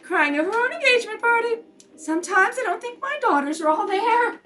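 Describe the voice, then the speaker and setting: A woman's voice: very high voice, expressive, fairly quick, pushed and bright, recorded from a distance, pitched above the performer's own voice, exaggerated voice. A woman acting a scatty, exasperated society mother of grown daughters in a 1930s radio comedy, fluttery in manner. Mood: giddy, exasperated. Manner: fluttery, laughing. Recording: faintly noisy home recording.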